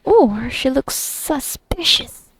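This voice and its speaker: A woman's voice: high-pitched, very animated, takes it slowly. A woman giving casual let's-play commentary in murmured, reactive asides. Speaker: gamer.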